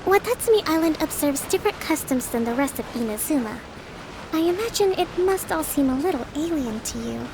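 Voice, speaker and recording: High-voiced young woman leader. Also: expressive, background noise. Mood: composed, reflective. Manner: formal, measured, diplomatic. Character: gentle young female island leader, polite and composed. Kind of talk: anime game voice acting.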